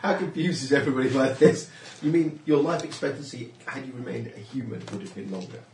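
A Yorkshire man runs a tabletop game with teasing banter. His voice sits at a middle pitch. He is picked up from some distance, in a room with a roomy echo and faint noise. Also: lively intonation, fast, creaky, darkish tone. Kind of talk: casual chat at a game table.

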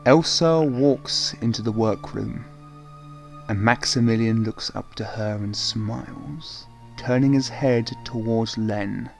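A man narrating a scripted horror radio play in a dramatic, measured way. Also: on the low side, vocal fry, faint music behind.

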